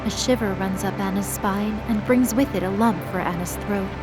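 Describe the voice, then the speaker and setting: A woman's voice: medium pitch, normal speed, natural voice, poor audio. A woman delivering dramatic scripted narration as the narrator of an audio drama. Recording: loud dramatic music behind the voice.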